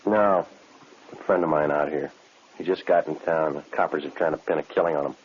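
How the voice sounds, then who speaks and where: Tired jailbird in old crime radio show, real deep voice, clipped, noisy recording. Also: male voice, brisk, dull audio. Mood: guarded, casual. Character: guarded, weary male jail inmate, terse and evasive. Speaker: narrator and lead actor in a police radio drama. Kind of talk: 1950s radio crime drama acting.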